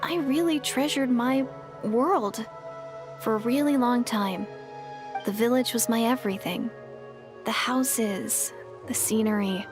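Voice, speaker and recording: A woman's voice: fairly high pitch, close to an everyday voice. An actress playing an earnest, dreamy young village woman adventurer in a video game, speaking sincerely. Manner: sincere, wistful storytelling. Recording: music playing behind.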